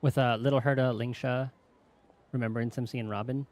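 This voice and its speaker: A game streamer giving casual live commentary, halting and musing to themself. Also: mid-range voice, steady tone, darkish tone. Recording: streamer voice over game music. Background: game music.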